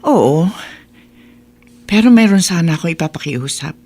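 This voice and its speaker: A woman playing a caring but firm middle-aged mother in a radio drama, speaking in a measured, earnest way. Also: lowish voice, lively intonation, mellow. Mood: concerned. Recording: music bed behind voice.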